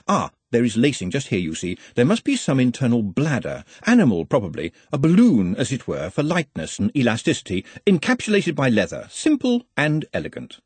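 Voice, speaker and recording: A man voicing an erudite, polite servant creature with a precise, pedantic, deliberate manner in a fantasy audiobook. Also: mid-range voice, darkish tone, breathy edge, no room sound.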